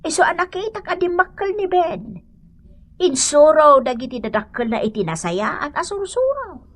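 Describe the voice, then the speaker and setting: A woman's voice: high voice, sing-song, moderate pace. An actress with an Ilocano accent playing an older woman earnestly counselling a young wife in a radio drama, in an emphatic, motherly, admonishing manner. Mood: earnest advising.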